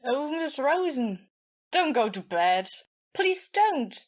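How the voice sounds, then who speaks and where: A woman playing a tipsy, demanding young woman in an audio play, loud and insistent as she drawls. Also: high-pitched, big pitch swings, takes it slowly, highs cut off, heavily acted. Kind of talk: amateur audio play acting.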